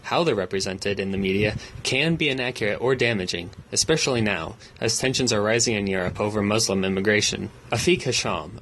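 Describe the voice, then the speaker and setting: A man's voice: fairly low pitch, fairly bright tone, slight background noise, low-quality recording. A male student reporter reading a scripted news narration evenly.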